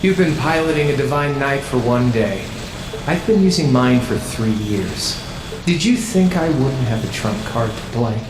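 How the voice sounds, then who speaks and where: An actor playing a cocky, wry young rebel mech pilot in a video game cutscene. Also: male voice, mid-range voice, lively intonation, unhurried, lightly acted. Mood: smug. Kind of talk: English dub video game cutscene acting. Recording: roomy echo, faint background noise.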